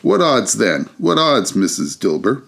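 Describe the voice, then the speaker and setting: A man voicing a bold, coarse charwoman in a storybook reading, rough-spoken and scornful. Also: on the low side, big pitch swings, unhurried, a bit bright, heavily acted. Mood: defiant.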